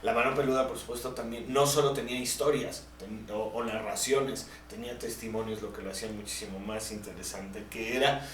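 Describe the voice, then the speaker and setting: A man's voice: on the low side, fairly even intonation, brisk, hardly any pauses. A distant, echoing recording with slight noise holds a Mexican man's voice. He is a podcast co-host in a casual panel discussion, speaking conversationally and with emphasis. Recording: distant, echoey, faintly noisy room recording.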